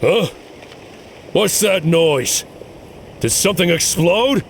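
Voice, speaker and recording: A man's voice: high-pitched, animated, slow, slight background noise, full character voice. A man playing a hot-tempered, easily startled enemy guard in a video game. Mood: alarmed, angry.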